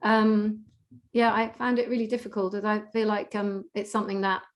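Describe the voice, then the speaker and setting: A woman's voice: medium pitch, little pitch movement, moderate pace. A woman, speaking as a carer, gives a casual personal account, hesitantly searching for her words. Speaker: carer and contributor.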